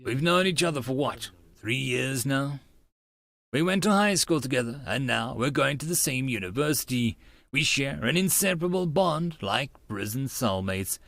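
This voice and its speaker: A man's voice: mid-range voice, animated, moderate pace, gravelly, a bit bright. A man voicing a grandiose, theatrical young self-styled mad scientist in a first-person audiobook story, speaking pompously. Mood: pompous, insistent. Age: young adult. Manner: pompous, melodramatic.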